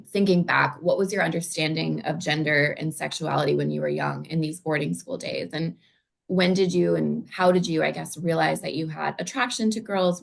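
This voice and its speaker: A woman hosts an interview, reading a formal introduction and then asking long, careful questions. Her voice sits fairly low, with a gravelly fry. She speaks in a measured, composed way. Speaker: interviewer, program director. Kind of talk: interview host.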